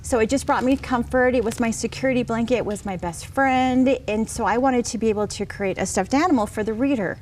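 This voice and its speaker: A woman's voice: fairly high pitch, fairly quick, few pauses, darkish tone, slight background noise. A middle-aged woman speaking as an author guest in a TV interview, earnest and reassuring as she tells personal stories. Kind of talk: conversational TV interview, personal storytelling.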